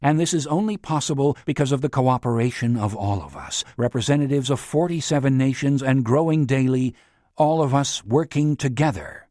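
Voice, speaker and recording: A velvet-voiced tycoon, read by a male narrator, holds a crowd with a sweeping, oratorical speech. His voice sits at a middling pitch and sounds dry and close, with no room around it. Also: normal speed, mellow, no echo, lightly acted.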